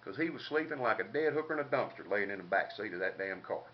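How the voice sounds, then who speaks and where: A man's voice: on the low side, animated, fast. An older man with a Southern US accent tells a casual comic story in a folksy, drawling country way with colorful slang. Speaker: comedic storyteller. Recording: dull lo-fi home recording, background noise.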